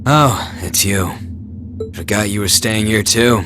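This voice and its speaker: A man's voice: fairly low pitch, takes it slowly. An actor playing a surly, aloof young man in a video game, blunt and bored in manner. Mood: aloof, annoyed. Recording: music behind the voice.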